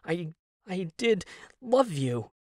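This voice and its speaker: A man's voice: high voice, big pitch swings, slow pace, no room sound, studio quality, pitched above the performer's own voice, heavily acted. A man voicing a panicked, needy husband who whines and stammers in an audiobook. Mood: pleading. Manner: whining, stammering. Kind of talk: audiobook character acting.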